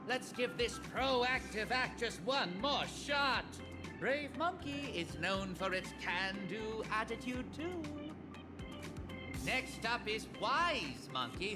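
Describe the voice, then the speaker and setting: A smooth, flattering director who sweet-talks his cast. His voice sits high and moves a lot, and he talks slowly. Loud game music plays behind him. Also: full character voice. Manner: polished, persuasive, flattering. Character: smooth, flattering male film director, showman.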